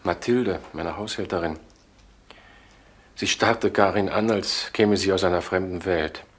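An actor playing a distraught, lovesick middle-aged murder suspect in a crime radio drama, halting and defensive. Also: male voice, sits low. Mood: subdued, confessing. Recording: noisy old radio drama recording.

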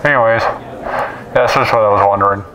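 A man in his twenties speaking through a megaphone in an awkward, deadpan prank style. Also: lowish voice, fast, background noise. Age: 20s. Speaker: prankster and video host. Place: campus lawns and lounges, some distance from camera. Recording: megaphone voice amid background chatter.

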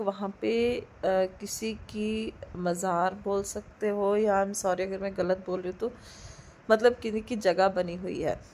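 Hoarse woman chatting over her vlog. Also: medium pitch, darkish tone, a little hiss or hum. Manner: chatty, loosely phrased, mixing English words into her talk. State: hoarse throat from a cold. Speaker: vlogger. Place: indoors at home, recording the voice-over. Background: a dog barking now and then.

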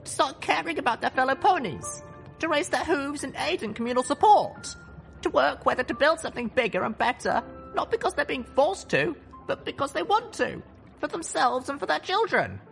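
A man's voice: very high-pitched, very animated, moderate pace, vocal fry, a bit bright, faint music behind, voice pushed up, heavily acted. A man voicing a young pony mare heroine, earnest, defiant and idealistic, in a dramatised audiobook. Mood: earnest, rousing. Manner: stern, earnest speechmaking.

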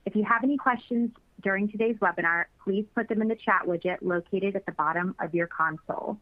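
A woman's voice: mid-range voice, fairly quick. Woman moderator on a tinny line. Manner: reads housekeeping notes. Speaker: webinar moderator. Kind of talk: scripted announcement.

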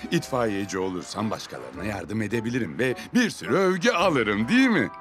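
A man voicing a proud, discontented cement mixer truck in a dubbed children's cartoon, expressive, grumbling and boastful. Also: fairly low pitch, a little fast, music in the background. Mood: eager, boastful.

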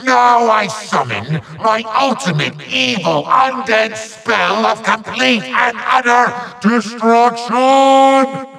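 Small evil sorcerer for a video game: high-pitched, wildly swooping, petulant and gloating, hammy theatrical threats. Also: male voice, big pitch swings, slow pace, vocal fry, hardly any pauses, sharp tone, recorded from a distance, exaggerated voice. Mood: gloating, commanding.

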